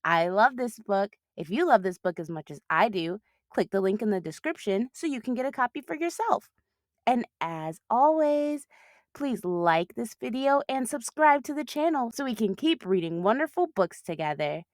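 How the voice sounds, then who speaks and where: A woman hosting a children's read-aloud, narrating expressively with clear phrasing. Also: on the high side, sing-song, normal speed, dry recording, clean studio sound.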